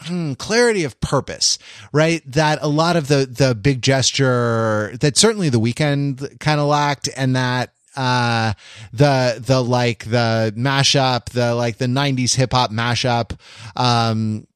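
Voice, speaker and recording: Man chatting haltingly, close dry sound. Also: medium pitch, smooth, no room sound. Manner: halting, meandering, searching for words. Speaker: podcast host. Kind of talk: casual discussion of television and pop culture.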